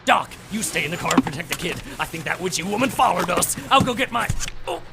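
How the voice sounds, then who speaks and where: A man playing a folksy, kindly working-class truck driver in an audio drama, with a gravelly voice and drawling slang. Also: sits high, animated, fast, background noise. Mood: alarmed.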